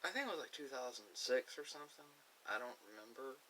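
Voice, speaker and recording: A man's voice: medium pitch, lively intonation, fast, sharp tone, low-quality recording. A man telling a casual, rambling personal story, hesitant and often repeating and correcting himself. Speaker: ASMR video creator. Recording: clear background hiss.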